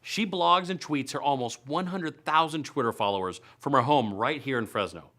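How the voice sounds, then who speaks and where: A man's voice: medium pitch, moderate pace, bright, forceful tone. A man hosting a web show in a scripted, playful comedic style, leaning on words for emphasis. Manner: playful, punchy delivery with emphatic stretched words. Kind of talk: upbeat scripted comedic news and events presenting.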